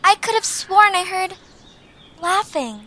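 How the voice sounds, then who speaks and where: A woman's voice: very high voice, animated, slow pace, bright, forceful tone, heavily acted. A woman voicing an anxious, kindhearted young girl adventurer in an audio drama, earnest and hesitant in her delivery. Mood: uneasy. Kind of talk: animated audio drama acting.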